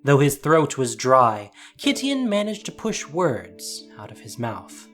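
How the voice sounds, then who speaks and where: A man reading a scripted fantasy story as narrator, with measured, deliberate, suspenseful phrasing. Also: mid-range voice, huge pitch swings. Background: soft music.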